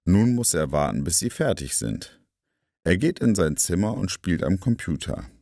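Deep, creaky male story narrator. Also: sing-song, moderate pace, warm tone, no echo, clean studio sound. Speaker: narrator of stories for language learners. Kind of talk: scripted story narration for learners.

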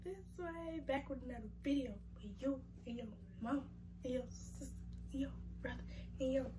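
A woman's voice: sits high, normal speed, noisy background. A young woman hosting a reaction video, talking casually and rambling with lots of fillers, her voice worn from getting sick. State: losing her voice from sickness. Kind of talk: casual slangy chat to viewers.

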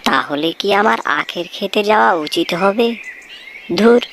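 A woman's voice: medium pitch, unhurried, gravelly, fairly bright tone, a little hiss or hum. A woman voicing a sly, foolish and greedy fox in a cartoon folk tale, exclaiming and talking to itself. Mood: puzzled, stubborn.

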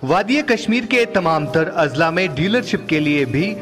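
A male advertising voice with a fairly high pitch. He reads promotional copy slowly and deliberately, like a list, while music plays behind. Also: expressive. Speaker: advertisement voice-over. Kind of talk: scripted promotional narration.

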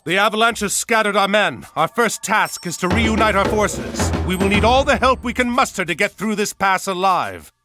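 An actor playing a resolute, stern army commander in a fantasy game, speaking firmly. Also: male voice, sits high, normal speed, close to an everyday voice. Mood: determined. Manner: firm, declarative. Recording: music clearly under the voice.

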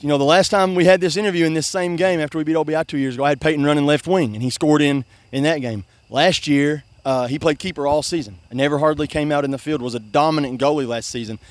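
A man's voice: on the high side, dry recording. A young man, a soccer coach giving a postgame interview outdoors by a river at night, soaked from a celebratory jump, talking earnestly with many restarts and "I mean". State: soaking wet after a river jump. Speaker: high school soccer coach.

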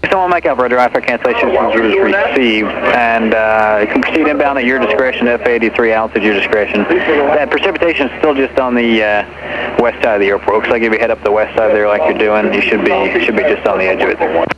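A man's voice: fairly low pitch, fast talker. A man working as an air traffic controller, giving procedural calls over aviation radio. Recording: dull, noisy aviation radio transmission.

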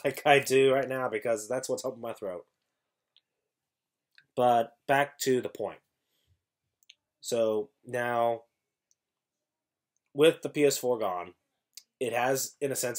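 A young man vlogging at home to his webcam, sounding congested with an irritated throat, rambling with many restarts and self-corrections. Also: medium pitch. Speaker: YouTuber. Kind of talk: casual vlog talk to camera. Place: small room at home, indoors.

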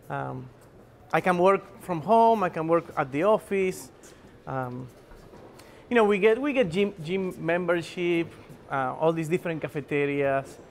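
Gravelly-voiced man from Argentina, chatty. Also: a little high, slight background noise. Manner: chatty, hesitant, with many fillers and restarts. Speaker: recruiter at a tech company. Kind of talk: casual on-camera interview. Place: office building and company shuttle.

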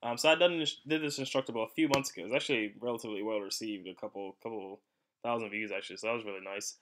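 Low-pitched man giving a casual tutorial. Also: very fast, a bit bright. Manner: informal, rambling explanation with frequent "you know". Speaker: electronics hobbyist presenter. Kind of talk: casual unscripted tutorial narration over screen capture.

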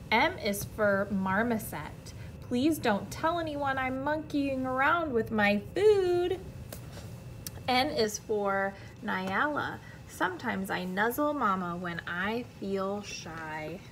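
American lady reading a kids book out loud, kinda high bright voice, expressive, bit of room noise. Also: slow. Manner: expressive, careful reading with friendly asides to young listeners. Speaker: teacher reading aloud. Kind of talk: children's picture book read-aloud. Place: indoor classroom.

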